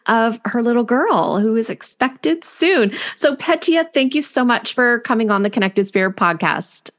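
A woman's voice: a little high, lively intonation, moderate pace. Woman podcast host asking questions. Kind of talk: podcast interview.

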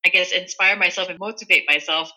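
A woman's voice: on the low side, steady tone, fast talker, sharp tone, low-quality recording. A middle-aged woman from Malaysia, a guest entrepreneur answering interview questions thoughtfully, with fillers and restarts.

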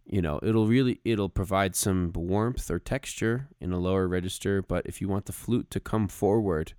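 A man's voice: low voice, moderate pace, a little mellow, smooth, dry recording, studio quality. A man co-hosting a podcast, casually explaining music with emphatic, stop-start phrasing. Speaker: podcast co-host.

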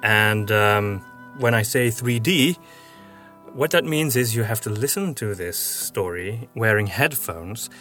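Male podcast host and writer, medium pitch, explanatory news-update delivery over a music bed. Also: fairly bright tone.

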